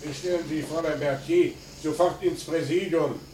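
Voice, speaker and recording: An actor playing a dry, quietly authoritative police inspector in a radio crime drama, asking terse questions. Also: male voice, fairly high pitch, fairly even intonation, moderate pace, natural voice. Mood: brisk, commanding. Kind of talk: German radio play acting. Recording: roomy sound with faint noise.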